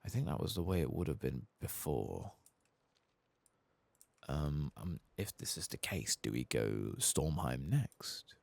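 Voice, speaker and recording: A soft-spoken man giving rambling ASMR commentary as he plays a game, sometimes dropping into a whisper. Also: extremely low pitch, sing-song, unhurried, vocal fry, no room sound. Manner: rambling, musing to himself; often drops into whispers. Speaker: host of a gaming playthrough channel.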